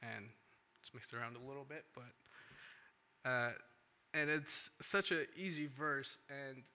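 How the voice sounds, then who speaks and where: Bright-voiced young man giving testimony. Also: mid-range voice, slow. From India. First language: non-English first language. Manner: earnest, rambling with restarts and "right?" tags. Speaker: church speaker. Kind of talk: personal testimony talk. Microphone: handheld or stand microphone.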